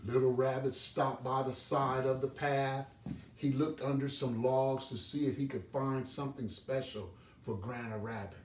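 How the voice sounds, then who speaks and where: A man reading a children's storybook aloud as an expressive storyteller. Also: mid-range voice, normal speed. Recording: roomy, distant microphone, background noise.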